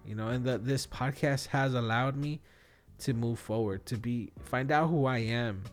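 A man's voice: medium pitch, normal speed, faint music behind. A man in his thirties chatting casually and solo as a podcast host, thinking aloud in a rambling, slangy way. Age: 30s. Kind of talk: casual solo podcast chat, answering questions.

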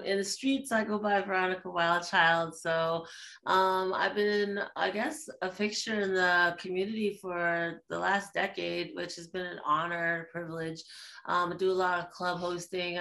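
A woman guest on a podcast, telling her story casually, with many fillers and a relaxed, chatty way of talking. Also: fairly low pitch. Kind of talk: casual interview chat.

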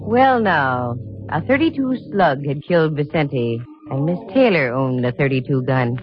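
A woman's voice: low voice, lively intonation, a little slow. An actress playing a wry, confident lady private investigator in a 1950s radio detective drama. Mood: cool, musing. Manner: wry, slangy, cool. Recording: muffled old radio, music behind.

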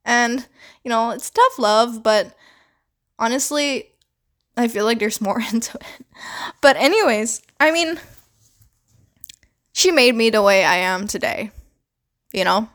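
A woman's voice: fairly high pitch, animated, slow, pauses often, fairly bright tone. A young woman from the Philippines who speaks with a Canadian accent, talking alone in a casual podcast monologue with lots of "like" and "you know" fillers and offhand swearing. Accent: Canadian English. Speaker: podcast host.

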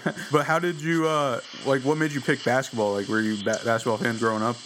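A man's voice: medium pitch, flat delivery, fast talker, fairly bright tone, slight background noise, rough recording. A man hosting a podcast and interviewing a guest in a casual, chatty way.

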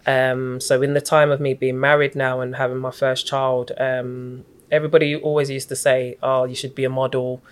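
A middle-aged woman, a guest in an interview, recounting her story in a reflective, even-toned, unhurried way. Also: very deep voice. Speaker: guest, community activist.

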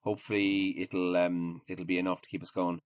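A man with an Irish accent speaks in a fairly low voice, recorded close and dry. He talks casually as an interviewee, feeling his way through answers with hesitant, doubled-up words. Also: flat delivery, no echo. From Ireland. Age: 40s. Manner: hesitant, halting, repeats words. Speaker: interview guest, restaurateur.

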